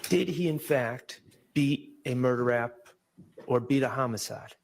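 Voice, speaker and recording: Male courtroom lawyer, medium pitch, deliberate pressing questions, slight background noise on the recording. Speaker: examining lawyer. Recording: slightly noisy courtroom audio.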